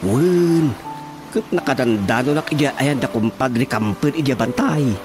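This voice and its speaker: A man's voice: medium pitch, measured pace, music in the background, close to an everyday voice. A man acting a chatty village councilman in a comic radio drama, with an Ilocano accent. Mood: chatty, genial. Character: chatty village councilman talking shop with watchman.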